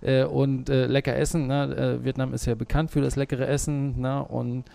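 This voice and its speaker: A man's voice: medium pitch, little pitch movement, moderate pace, creaky, mellow. A man as the interview guest, a small business owner, talking through his work in a hesitant way with many fillers. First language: German. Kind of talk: conversational interview.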